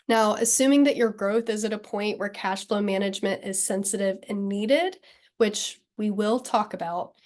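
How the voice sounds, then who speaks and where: A woman in her thirties from Texas, giving an upbeat, organized webinar presentation. She has a cold, so she sounds slightly nasal. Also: medium pitch. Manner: upbeat and friendly, clear and organized.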